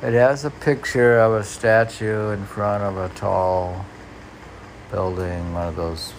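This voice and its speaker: A man reading his own poetry and adding rambling casual asides in a musing, halting manner. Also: lowish voice, steady tone, slight background noise, low-quality recording.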